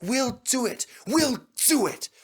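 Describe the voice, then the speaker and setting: A man's voice: very high-pitched, lively intonation, takes it slowly, slightly rough, pitched above the performer's own voice, full character voice. A man voicing a willing, cheerful and plucky steam engine in a storybook, polite and encouraging in short chanted phrases. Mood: eager effort.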